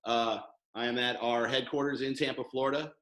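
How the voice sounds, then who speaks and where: A man's voice: medium pitch, little pitch movement, brisk, roomy sound, background noise. A man hosting a casual podcast, talking loosely with lots of fillers and restarts. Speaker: podcast host. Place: studio headquarters room.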